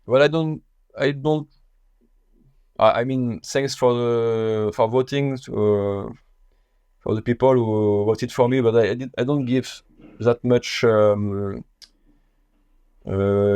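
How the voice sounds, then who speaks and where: A man with a French accent, a native French speaker, talking as a podcast guest in a casual interview, halting and searching for his words in English. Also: lowish voice, darkish tone, smooth, no room sound. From France.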